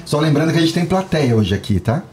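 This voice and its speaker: A man's voice: a little high, big pitch swings, fast talker, crowd noise. A man with a Brazilian Portuguese accent hosting a podcast, joking and laughing as he reads a guest's introduction. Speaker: podcast host. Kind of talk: playful podcast banter.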